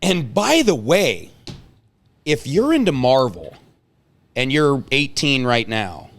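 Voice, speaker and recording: A man's voice: mid-range voice, big pitch swings, slow pace. A man in his forties hosting a casual interview, talking informally with slang and rambling asides. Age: 40s. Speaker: host.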